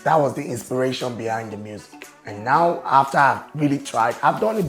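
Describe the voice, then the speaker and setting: A man with a raspy, mid-pitched voice tells his story in a relaxed, confident way. He sounds like a guest on an interview show. The room is roomy and echoey, with faint music underneath. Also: a little fast. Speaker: guest, business owner and show promoter. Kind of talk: casual interview talk.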